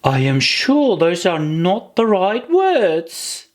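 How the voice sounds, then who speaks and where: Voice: medium pitch, big pitch swings, takes it slowly, voice pushed up. A storybook narrator voicing a forlorn, earnest little girl, plaintive and self-pitying in a children's tale.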